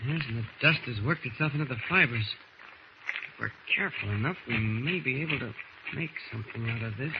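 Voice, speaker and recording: An actor playing a calm, patient, intent reporter hero in a 1940s-style radio serial. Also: male voice, mid-range voice, fairly quick, lightly acted. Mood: intent, intrigued. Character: calm, kindly grown-up male reporter hero investigating. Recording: muffled, noisy old radio recording.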